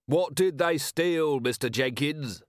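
A male narrator acts a sardonic commander of a fantasy city watch. He is self-assured and calm, needling people wryly in a slightly lifted voice. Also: fairly high pitch, slow pace, dry recording, studio quality, voice pushed up. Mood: probing.

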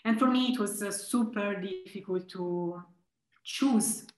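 A young woman from Italy, a philosophy scholar being interviewed, speaking thoughtfully with many filler pauses. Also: medium pitch, a little mellow, reverberant, rough recording. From Italy (Tuscany). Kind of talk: reflective conversational interview.

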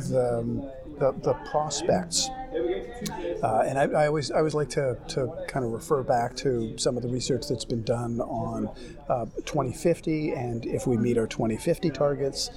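A man hosting a podcast interview at a conference, asking questions in a halting way full of restarts and fillers. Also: medium pitch. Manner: hesitant, many restarts and fillers. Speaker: podcast host. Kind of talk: conversational interview. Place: conference venue. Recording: busy crowd chatter behind.

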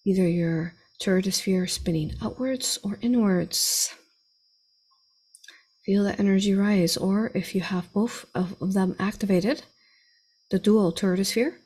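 A woman leading a spiritual guided meditation in a soothing, deliberate, instructing way, with a breathy voice. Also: mid-range voice, darkish tone.

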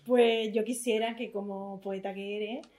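A woman's voice: medium pitch, fairly even intonation. A woman with an Andalusian Spanish accent hosting a podcast, praising and encouraging her guest. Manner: warm encouraging, quick praise. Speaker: podcast host. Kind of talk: casual interview.

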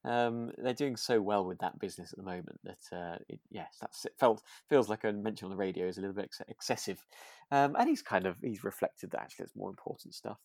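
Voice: deep voice, fast talker, creaky, a little mellow, dry recording, clean studio sound. A podcast co-host chatting casually about a radio drama in an enthusiastic, chuckly, slightly hesitant way.

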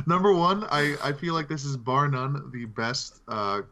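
A man's voice: on the high side, slow, pushed and bright. A man co-hosting a casual film discussion among friends, talking in an informal, conversational way. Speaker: podcast co-host. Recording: slightly dull lo-fi mic.

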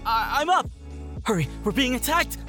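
Jumpy, high-voiced young farm brother. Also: male voice, normal speed, loud music behind the voice. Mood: panicked. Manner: nervous, eager, excitable. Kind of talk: audio drama acting.

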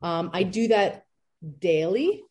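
A woman in her thirties, an entrepreneur answering interview questions in a chatty, lively way with many fillers. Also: lowish voice, takes it slowly. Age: 30s. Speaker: entrepreneur guest.

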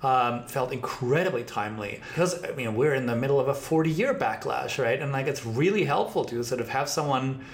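A man's voice: medium pitch, animated, fairly quick, hardly any pauses. A man hosting a podcast, talking in an emphatic, discursive academic way and often tagging his points with "right?". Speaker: podcast host.

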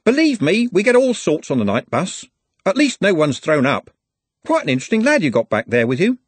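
A man's voice: on the high side, huge pitch swings, brisk, husky, no room sound, pitched above the performer's own voice, full character voice. A man doing a cheerful, wry bus driver. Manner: chatty and wry, with a raised, bright delivery. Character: cheerful, worldly middle-aged male night-bus driver.

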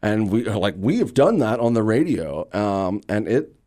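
A man from Louisiana speaking as an actor guest in an interview, telling stories in an enthusiastic, conversational and animated way. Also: lowish voice, unhurried. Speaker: interview guest, actor and radio drama creator.

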